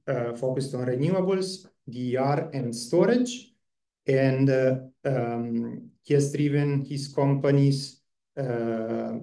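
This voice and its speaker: A man's voice: medium pitch, warm tone. Hesitant man introducing a speaker. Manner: hesitant, many uhs. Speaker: seminar host. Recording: echoey room, distant from the mic.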